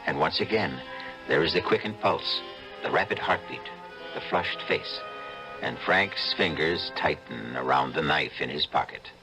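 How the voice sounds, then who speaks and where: An actor playing an ominous, wry storyteller, narrating a suspense tale deliberately and dramatically in old-time radio style. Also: male voice, very deep voice, lively intonation, loud music behind the voice, exaggerated voice, poor audio. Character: ominous, sardonic male storyteller of suspense tales.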